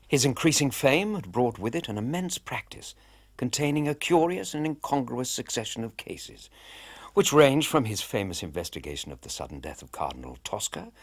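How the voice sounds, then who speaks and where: Husky male radio drama narrator. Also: lowish voice. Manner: measured storytelling.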